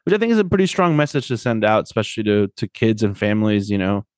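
A man with a fairly low voice chats casually about sport as a podcast host. The recording is dry and close, and he talks conversationally, often tagging his points with a check for agreement. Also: very animated, fast talker, clean tone, no echo. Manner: conversational, often seeks agreement with tag questions. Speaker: podcast host and soccer coach.

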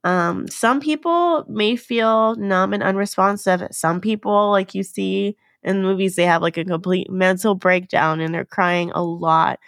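A woman's voice: on the low side, vocal fry, no room sound, studio quality. A woman hosting her own podcast in a casual, earnest way, sounding nasal from a cold and using many fillers. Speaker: podcast host. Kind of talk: casual solo podcast talk.

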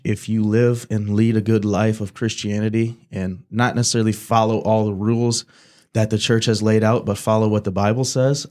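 A man's voice: on the low side, darkish tone. Earnest young man hosting a church podcast. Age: 20s. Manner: earnest, repeats "God" between phrases. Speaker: podcast host. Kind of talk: casual church podcast chat with opening prayer.

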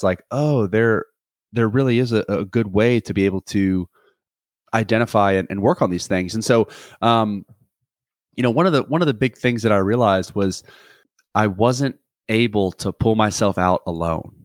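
Young man, lowish voice, earnest and rambling, solo podcast talk, dry close sound. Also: no echo. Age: 20s. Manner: earnest, rambling, many restarts and fillers. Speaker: podcast host. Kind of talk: reflective solo podcast monologue.

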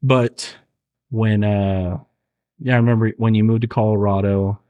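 A man's voice: lowish voice, steady tone, a little slow, dark tone, muffled recording. A young man hosting a podcast, chatting casually with a friend, joking around and laughing often. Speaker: podcast host.

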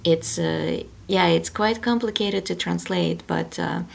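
Gravelly-voiced woman, slow and thoughtful. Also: lowish voice. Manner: thoughtful, hesitant, many fillers. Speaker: guest, author and translator. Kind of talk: interview conversation.

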